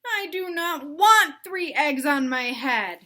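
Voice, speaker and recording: A woman voicing an anxious, excitable male elephant from a storybook, with dramatic, exclamatory questioning. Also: high voice, slow pace, pitched above the performer's own voice, full character voice. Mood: upset.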